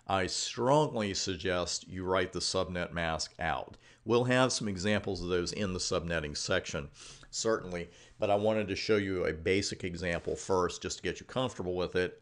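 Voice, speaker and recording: Man, low voice, course instructor, informal lecture narration, conversational, direct address, emphasis on key points. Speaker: instructor of a computer networking course.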